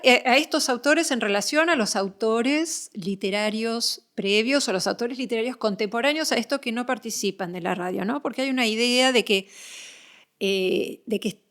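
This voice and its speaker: A woman with an Argentine accent hosting a conversational podcast interview, feeling her way through her questions. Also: a little high.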